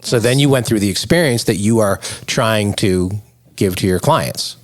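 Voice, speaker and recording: A man's voice: on the low side, normal speed. A man interviewing his co-hosts in a casual podcast chat, asking curious follow-up questions.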